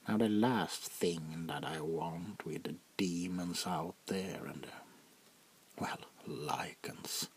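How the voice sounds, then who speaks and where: Man acting a slow, gravelly, very deep vampire prince. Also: a little hiss or hum. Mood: secretive, grave. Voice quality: gravelly, breathy, often dropping into whispers. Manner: slow, haughty, deliberate with dramatic pauses. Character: arrogant, power-hungry male vampire prince, coldly menacing. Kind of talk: soft-spoken ASMR roleplay.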